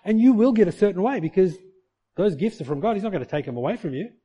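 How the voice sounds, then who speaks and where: Middle-aged male pastor, warm mellow tone, slightly higher voice, earnest sermon delivery with rhetorical questions. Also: lively intonation, quick pace, slightly rough, highs cut off. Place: church.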